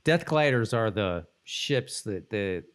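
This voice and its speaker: A man co-hosting a podcast in casual chat, reading out bits of trivia and thinking aloud in a halting way. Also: fairly low pitch, animated. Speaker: podcast co-host.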